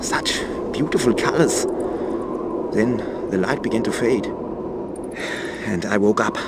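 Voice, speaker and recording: A man's voice: fairly low pitch, measured pace, lightly acted. A man playing a whimsical, cryptic dreamer in a fantasy video game, musing in a theatrical manner. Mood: wistful. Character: whimsical, cryptic male dreamer, once a painter. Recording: noisy game cutscene capture.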